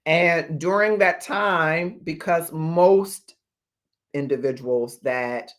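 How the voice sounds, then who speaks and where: A man in his fifties hosting a motivational livestream as a life coach, speaking in deliberate, emphatic phrases with pauses. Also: fairly high pitch, a bit bright. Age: 50s. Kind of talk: motivational livestream talk to viewers.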